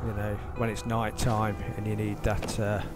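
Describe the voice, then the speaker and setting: A man's voice: fairly low pitch, monotone, moderate pace. A man giving casual gameplay commentary, muttering and thinking aloud as he plays. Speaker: gamer narrating own gameplay. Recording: game music loud behind voice. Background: video game music and sound effects.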